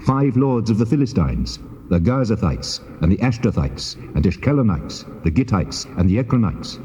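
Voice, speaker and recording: A man playing God in a dramatized scripture reading, solemn and commanding, speaking in a stately, measured, declamatory way. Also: on the low side, very animated, dark tone, a little hiss or hum. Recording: muffled tape, long reverberant hall effect.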